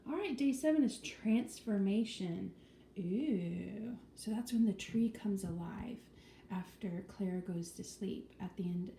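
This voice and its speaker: A woman talks casually to her viewers, wandering through her thoughts with plenty of ums, restarts and the odd whisper. Her voice is very dark and mellow, at a middling pitch for a woman. It is recorded in an echoey room with a faint background hiss. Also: slow. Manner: chatty, rambling, frequent ums and restarts; drops to a whisper at times. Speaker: vlogger. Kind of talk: casual vlog chat.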